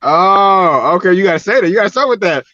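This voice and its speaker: Casting: late-30s man, playful teaser, somewhat high voice, muffled call audio. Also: lively intonation, a little fast, sharp tone, dry recording. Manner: teasing, playful ribbing. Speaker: podcast guest. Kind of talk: casual banter. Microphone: video call. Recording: dull video call audio.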